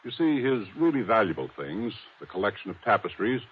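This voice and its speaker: An actor playing a proper, earnest attorney in a 1950s radio mystery, courteous, formal and emphatic. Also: male voice, fairly low pitch, sing-song, fairly quick, a little hiss or hum. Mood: explaining. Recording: thin phone-like vintage radio recording.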